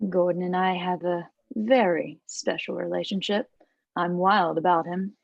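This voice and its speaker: Woman acting haughty conniving older mother, comedy table read, gravelly fry, silky menace, dry lo-fi sound. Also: on the low side, fairly even intonation, a little slow, dry recording. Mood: polite menace. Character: arrogant aristocratic sixty-ish mother, steely, conniving, falsely polite.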